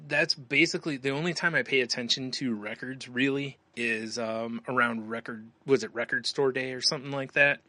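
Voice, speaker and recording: Male podcast co-host, bright forward voice, rambling conversational delivery, very dry close recording. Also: medium pitch, steady tone, moderate pace, hardly any pauses, no echo. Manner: rambling, conversational, often says "you know". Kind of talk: casual chat.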